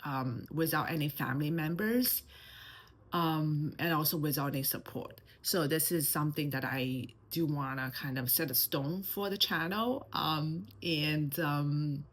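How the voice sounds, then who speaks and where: A woman's voice: deep voice, unhurried. A woman in her thirties from China with a Mandarin accent, talking hesitantly to camera in a personal vlog, with many fillers and restarts. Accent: Mandarin Chinese. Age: 30s. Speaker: channel host.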